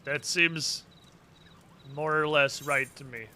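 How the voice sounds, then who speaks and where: A man's voice: a little high, steady tone, takes it slowly, bright, forceful tone, a little hiss or hum. A man giving casual live commentary as he plays a game, muttering to himself and swearing freely. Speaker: game streamer.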